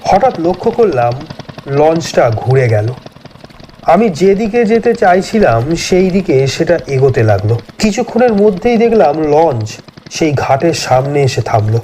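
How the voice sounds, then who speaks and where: A man's voice: a little high, sing-song, measured pace, warm tone, slight background noise. A man telling a scripted first-person horror story as a dramatic narrator.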